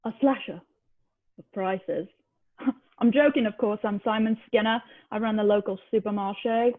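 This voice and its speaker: A smirking, confident supermarket manager acted by a woman at a script reading, theatrical and teasingly sinister. The voice has a medium female pitch and comes through thin, phone-like audio. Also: normal speed, close to an everyday voice. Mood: smug, teasing. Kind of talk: amateur screenplay table read.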